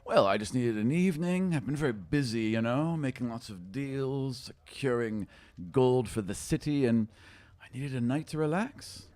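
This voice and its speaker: A man's voice: medium pitch, moderate pace, darkish tone, dry recording, pitched above the performer's own voice, lightly acted. A man voicing a confident, wealthy shipping lord in a tabletop game, smug, genteel and long-winded. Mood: suave. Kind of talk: improvised tabletop roleplay.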